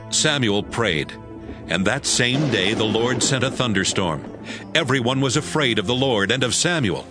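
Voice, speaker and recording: A man's voice: medium pitch, animated, moderate pace. A man narrating scripture from a script, as the narrator of an audio drama. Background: music.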